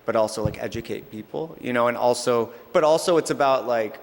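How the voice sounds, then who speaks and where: A man's voice: mid-range voice, brisk. A man, a DJ answering interview questions in a casual, hesitant, thoughtful way. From California, United States. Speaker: DJ being interviewed. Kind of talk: casual chat. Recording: long hall-like reverb on the voice.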